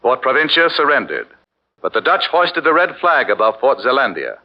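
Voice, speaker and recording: A man's voice: mid-range voice, very animated, moderate pace. A man narrating a 1940s radio documentary drama with dramatic storytelling. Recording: muffled 1940s radio broadcast with faint music.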